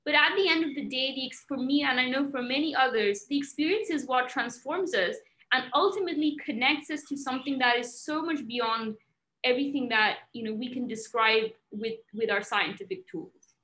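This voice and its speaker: A woman's voice: high voice, moderate pace, bright, forceful tone. A young woman who grew up in England, speaking as a podcast guest in an earnest, emphatic way with many fillers.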